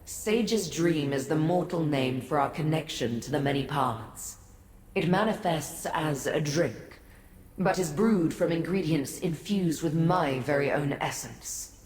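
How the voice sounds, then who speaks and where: An otherworldly, weary goddess from a fantasy game, regal and mysterious. Her low voice moves very slowly and formally through a long echoing reverb, over faint ambience. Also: a little mellow. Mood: regal, measured. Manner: measured, formal, grave. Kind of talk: scripted fantasy game dialogue. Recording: echoey reverb, faint noise.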